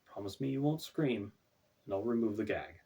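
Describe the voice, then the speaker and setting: A man voicing a conflicted, hesitant male killer with dark magic in a fantasy storybook, halting and uneasy, recorded away from the microphone. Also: lowish voice, creaky, recorded from a distance. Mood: quiet, firm.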